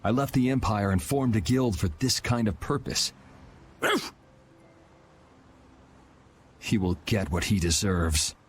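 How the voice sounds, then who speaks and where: An actor playing a cool, wry young guild vigilante in a video game, speaking in a dry, casual, sardonic way. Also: male voice, fairly low pitch, normal speed, slight background noise, close to an everyday voice. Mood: brooding. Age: young adult.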